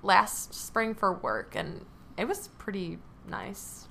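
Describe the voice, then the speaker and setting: A woman's voice: medium pitch, big pitch swings, takes it slowly, gravelly, pushed and bright, slight background noise. A woman co-hosting a podcast, chatty and giggly in casual conversation. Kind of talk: casual chat.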